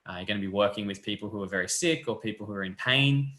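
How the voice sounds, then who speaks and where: Young aussie guy lecturer on a webcam call, bright tone, kinda echoey, chill and chatty. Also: on the low side, fast talker. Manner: relaxed, friendly, loose fillers. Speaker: physiotherapy lecturer. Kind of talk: casual explanatory chat. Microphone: webcam on a video call. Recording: echoey room.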